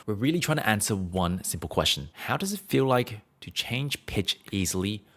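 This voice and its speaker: A man's voice: on the low side, expressive, moderate pace, a bit bright. Earnest male singing teacher. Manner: earnest, explanatory, frequent "you know" fillers. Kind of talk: singing lesson to camera.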